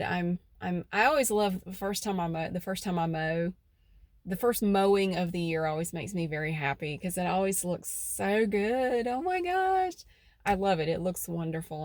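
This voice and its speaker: A woman's voice: fairly low pitch, darkish tone, dry recording. Chatty North Carolina woman, dry close sound. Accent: central North Carolina. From central North Carolina. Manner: chatty, rambling, folksy. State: stuffy nose. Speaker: vlogger. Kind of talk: casual vlog chat. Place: outdoors by her house.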